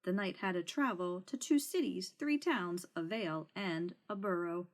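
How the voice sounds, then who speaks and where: A woman narrating a children's storybook aloud in an expressive read-aloud style. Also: lowish voice, normal speed. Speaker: storybook reader. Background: music.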